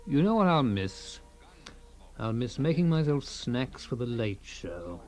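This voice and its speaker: A man's voice: medium pitch, very animated, unhurried, darkish tone, soft background music. An actor playing a fussy, self-absorbed husband in a radio comedy-drama, rambling and savouring small things, stoic about his creeping paralysis. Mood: wistful relish.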